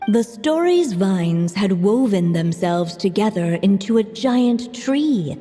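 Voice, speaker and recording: A woman narrating a fairy-tale story in a gentle, hushed storybook manner. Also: mid-range voice, animated, slow pace. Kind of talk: storybook narration in a game cutscene. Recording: long reverb with soft music.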